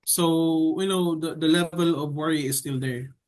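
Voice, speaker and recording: A young man with a Filipino accent and a fairly high voice speaks casually, as a guest in an interview. He is measured and hesitant, often pausing as he puts his thoughts together. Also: fairly even intonation. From Philippines. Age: young adult. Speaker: editorial cartoonist being interviewed.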